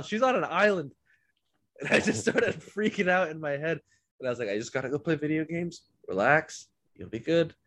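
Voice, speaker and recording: A man's voice: mid-range voice, a bit bright, no echo. A man hosting a podcast, a bit congested from illness, telling rambling self-deprecating stories in a casual chat. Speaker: podcast host.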